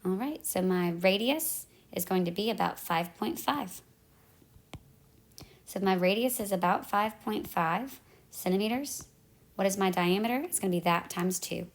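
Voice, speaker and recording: A woman's voice: medium pitch, moderate pace. A woman teacher who is losing her voice, walking through math problems step by step and correcting herself as she goes. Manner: talks through calculator steps, self-correcting. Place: at home in the evening.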